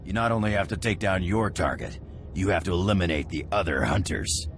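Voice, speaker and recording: A man's voice: deep voice, animated, brisk, slight background noise. An actor playing a dry, wry male official of a hunting contest in a game cutscene.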